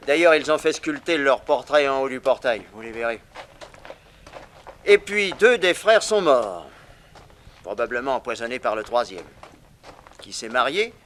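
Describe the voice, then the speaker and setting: Casting: excitable, bitter pardoned convict, higher register, theatrical 1960s French radio style, faintly noisy recording. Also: male voice. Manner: theatrical, expressive storytelling.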